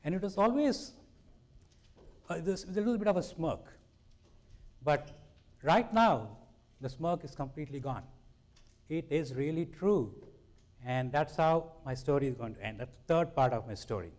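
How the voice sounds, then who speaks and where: A middle-aged man with an Indian accent giving a public science lecture in an informal, self-deprecating way with lively emphasis. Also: a little high, moderate pace, pauses often.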